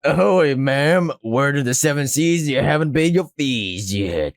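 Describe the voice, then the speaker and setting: Brief: male reader playing a menacing barracuda pirate extortionist, blustery pirate talk, swinging lively pitch, very dry close sound. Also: measured pace, vocal fry, no echo. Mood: gloating. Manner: pirate talk with jumping accent and har-har laughs.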